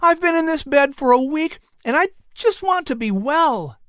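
Storybook role: honest, eager colonial lad, pitched high and lively, performed by an adult narrator. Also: normal speed, dry recording, studio quality. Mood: bored. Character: earnest young colonial boy, honest and eager.

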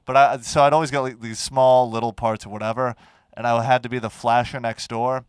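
Nasal guy in his 20s, podcast co-host, smooth clear voice, dead dry room. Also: medium pitch, no room sound. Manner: animated storytelling, quick to laugh. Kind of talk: casual comedy podcast chat.

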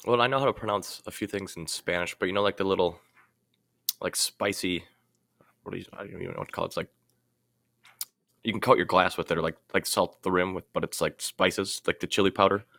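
A man's voice: sits low, fairly even intonation, a little fast, creaky, fairly bright tone, no room sound, studio quality. A man in casual conversation as a podcast co-host. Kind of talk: casual chat.

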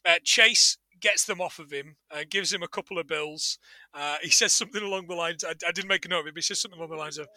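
A man's voice: a little high, quick pace, sharp tone, dry recording. A man co-hosting a film podcast, recapping casually in a jokey, sardonic and sweary way.

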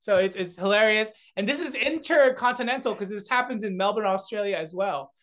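Korean comic guest, young guy, high voice, animated storyteller with lots of restarts. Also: a little fast. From South Korea. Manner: animated, many restarts and fillers, acts out voices. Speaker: guest, comedian and beatboxer. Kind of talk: casual chat.

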